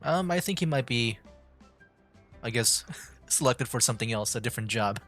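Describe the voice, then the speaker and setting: Laid-back male streamer chatting over quiet game music. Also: mid-range voice, lively intonation, moderate pace. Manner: giggly, rambling asides. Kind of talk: casual live-stream commentary.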